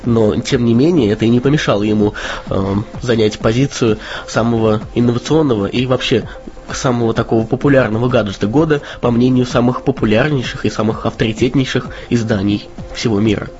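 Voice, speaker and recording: A man's voice: medium pitch, fairly quick, a little mellow. A man co-hosting a casual news podcast while a bit sick with a cold, speaking hesitantly with frequent uh and um. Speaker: podcast co-host. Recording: dull lo-fi podcast audio.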